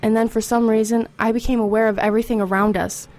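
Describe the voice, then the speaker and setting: Woman acting anxious teenage girl, high voice. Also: little pitch movement, quick pace, a little mellow, natural voice. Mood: uneasy narrating. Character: anxious, defiant teenage girl, haunted narrator. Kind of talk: audio horror drama with confessional narration. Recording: background noise and music under voice.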